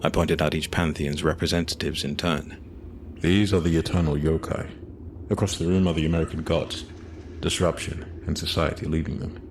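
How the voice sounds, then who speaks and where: A man narrating a scripted fantasy audio drama in a measured, solemn way, over faint noise and ambience. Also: rumbling low, steady tone.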